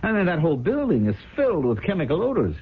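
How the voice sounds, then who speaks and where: A man's voice: on the high side, huge pitch swings, fast talker, slightly rough, full character voice. An actor playing a scheming, anxious businessman who narrates his own downfall in a radio drama. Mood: agitated, urgent. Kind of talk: radio drama acting with first-person narration. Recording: tinny 1970s radio broadcast, music behind.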